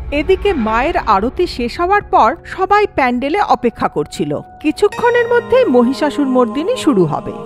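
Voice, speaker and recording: A woman narrates an animated horror story with dramatic flair. Her voice is high and swings expressively up and down, over clearly audible background music.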